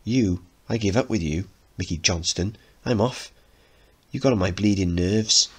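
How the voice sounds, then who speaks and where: A man's voice: fairly low pitch, a little slow, husky. A man voicing a brash, teasing, impatient working-class teenage girl in a dramatic play reading, pert and slangy. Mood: exasperated.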